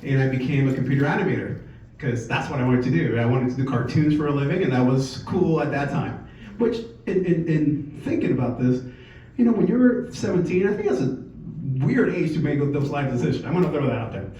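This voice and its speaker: A man in his forties from Puerto Rico gives an informal presentation, telling a personal story in a conversational, halting way. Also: fairly high pitch, expressive, dark tone, recorded from a distance. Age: 40s. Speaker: speaker at a company sales meeting. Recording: echoey room from afar, muffled, faint noise.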